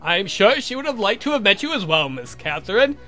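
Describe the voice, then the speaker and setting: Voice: mid-range voice, big pitch swings, brisk, a bit bright, faint music behind. A woman voicing a polite, restrained male vampire count with dry delivery, for a visual novel game. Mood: courteous. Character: wry, courteous male vampire count, young suitor.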